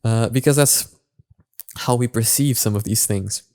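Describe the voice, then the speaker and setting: Low mellow guy doing casual reaction commentary, bit gravelly, keeps pausing to find his words. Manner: halting, searching for words. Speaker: reaction video host.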